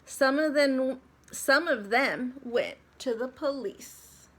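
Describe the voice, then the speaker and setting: A woman with a high voice narrates a picture book for children. Her delivery is very slow and deliberate, setting phrases apart expressively. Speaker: storybook reader for children. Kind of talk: expressive scripted story narration.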